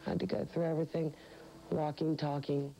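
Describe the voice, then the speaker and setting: A woman's voice: low voice, little pitch movement, fairly quick, gravelly, rough recording. A woman giving a personal recollection in an interview as an accident survivor.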